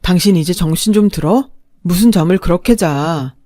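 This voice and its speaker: A woman's voice: fairly low pitch, moderate pace, dark tone, no echo, lightly acted. A woman voicing a selfish, callous husband who talks down to his wife, in a story reading. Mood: reproachful. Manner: dismissive, reproachful.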